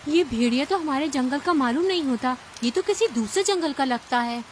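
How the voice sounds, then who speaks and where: Woman voicing a high-pitched, caring cartoon bird. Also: expressive, brisk. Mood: concerned. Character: caring, dutiful young female bird of the forest. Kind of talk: Hindi children's cartoon dubbing. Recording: clear background noise with ambience.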